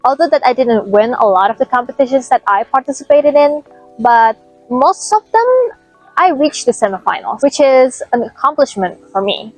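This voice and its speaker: Young woman, fairly high voice. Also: no echo. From Indonesia. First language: Indonesian. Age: young adult. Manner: casual, frequent "like" fillers. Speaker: vlogger. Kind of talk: scripted vlog narration. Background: faint lo-fi music.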